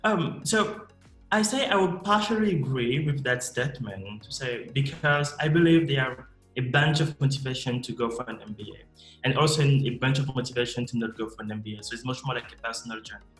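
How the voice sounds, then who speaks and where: A man in his thirties gives interview answers in a steady, explanatory way, often restarting his sentences. His tinged carries through an echoey room. Faint music sits in the background. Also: mid-range voice. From Cameroon. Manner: measured, explanatory, frequent restarts. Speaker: MBA student guest.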